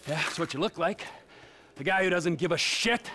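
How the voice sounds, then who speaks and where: A man's voice: fairly high pitch, normal speed, sharp tone, slight background noise. An American man playing a bitter, menacing middle-aged criminal in a video game, taunting and accusing an old friend. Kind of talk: dramatic video game acting.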